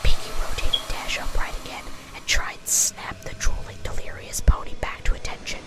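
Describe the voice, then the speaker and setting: Whispering woman reading a story. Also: normal speed. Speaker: amateur story reader.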